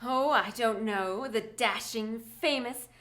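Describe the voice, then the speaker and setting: Bright, unhurried flirtatious socialite played by a woman. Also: a little high. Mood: coy, gracious. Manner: coy, gracious. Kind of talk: old-time radio drama acting.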